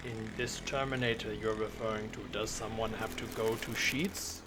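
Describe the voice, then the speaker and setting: A man improvising a stoic, literal-minded robot from the future disguised as a girl, speaking in halting, self-important declarations for a comedy podcast. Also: lowish voice, steady tone, background noise, lightly acted. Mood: puzzled.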